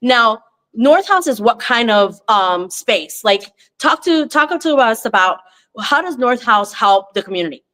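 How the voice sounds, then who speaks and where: A woman hosts a live interview on location, her voice pitched slightly high. She talks in a chatty, effusive way, restarting sentences often. Her voice is fading and she coughs between phrases. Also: expressive, measured pace, a bit bright. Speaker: host, consulting CEO. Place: co-working space, on location.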